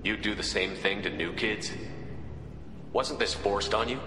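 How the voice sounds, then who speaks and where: A man playing a steady, reasoning military squad leader in a video game, firm and direct in delivery. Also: fairly low pitch, a little slow, lightly acted. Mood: probing. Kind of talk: video game cutscene acting. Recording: echoey hall, faint background noise.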